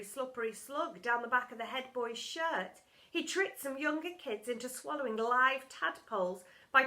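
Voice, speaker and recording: A woman narrates a children's book with dramatic emphasis. Her voice is fairly high, with a very bright, crisp edge, in the expressive style of a school story reader.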